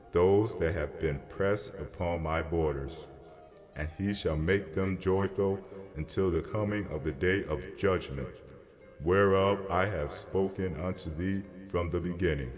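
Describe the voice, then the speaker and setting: A man playing a solemn divine messenger who interprets a prophetic dream in a grave, declamatory scripture dramatization. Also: sits low. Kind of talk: dramatized scripture reading over music. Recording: long hall reverb. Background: music.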